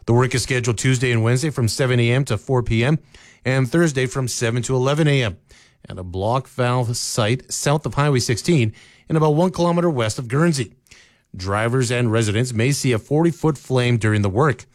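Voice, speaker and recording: A man reading scripted local news in a steady newsreader manner, from a radio studio. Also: medium pitch, a little fast, no room sound. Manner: steady, even newsreader delivery. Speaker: radio newscaster.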